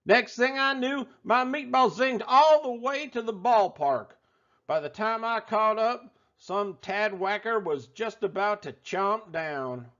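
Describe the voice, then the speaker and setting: A man voicing a folksy, excitable restaurant owner telling a tall tale in a theatrical drawl for a children's storybook. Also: high voice, takes it slowly, dull audio, full character voice. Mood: folksy, excited. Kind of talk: animated children's storybook read-aloud.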